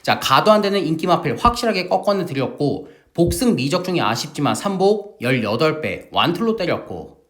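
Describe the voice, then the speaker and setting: A man's voice: fairly high pitch, fairly quick. A middle-aged man hosting a horse racing tips broadcast, talking with emphatic, salesman-like energy to his viewers and just getting over a sore throat. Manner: lively, emphatic salesman-like delivery, addresses viewers as family. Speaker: horse racing tipster host.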